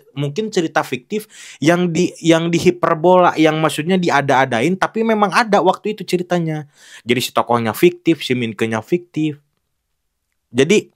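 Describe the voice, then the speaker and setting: A man's voice: a little high, a bit bright. A young man giving casual, frank commentary on film acting, talking loosely with many fillers and restarts.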